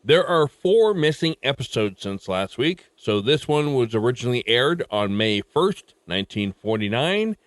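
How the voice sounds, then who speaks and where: A male podcast host reading his show intro in an upbeat announcer manner. His pitch moves lively, and the recording is dry and close. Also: moderate pace, few pauses, dry recording.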